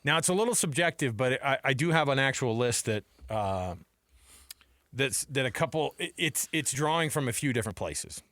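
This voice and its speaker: A man's voice: medium pitch, animated, moderate pace, fairly bright tone, no echo. An American man co-hosting a sports radio show with casual, chatty, colloquial banter. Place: radio studio. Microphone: close studio microphone.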